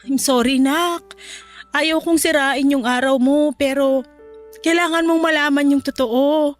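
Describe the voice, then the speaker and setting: An actress playing a middle-aged mother in a radio drama, gentle, careful and worried for her child. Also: high-pitched, fairly even intonation, unhurried, faint music behind. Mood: worried pleading. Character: gentle, worried mother breaking bad news to her daughter.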